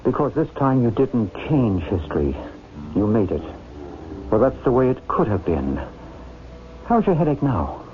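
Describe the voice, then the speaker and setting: An actor playing a calm, reassuring psychic healer in a radio drama, with a measured, authoritative manner. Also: male voice, medium pitch. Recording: tinny, noisy old radio broadcast.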